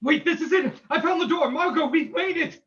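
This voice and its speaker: A man's voice: extremely high pitch, brisk, a bit bright. A man playing a stern, commanding crime-fighting hero in a dramatic old-time radio drama reading. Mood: urgent. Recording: dull lo-fi sound.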